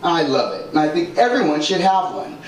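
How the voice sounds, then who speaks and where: A man's voice: fairly high pitch, animated, a little fast. A man doing a stand-up comedy set on a club stage, punchy and exaggerated, slipping into character voices. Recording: echoey club audio from far off, loud room noise.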